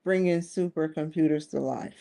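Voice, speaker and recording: A woman's voice: low voice, moderate pace, gravelly, warm tone. A woman in her forties hosting a live-streamed solo talk show, rambling earnestly with frequent restarts. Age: 40s. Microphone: streaming setup.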